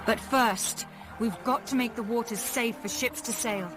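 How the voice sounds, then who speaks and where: An actress playing a bold, bossy young woman adventurer in a video game, with an assertive, brisk manner. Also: fairly high pitch, close to an everyday voice. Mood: determined. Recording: game music behind the voice.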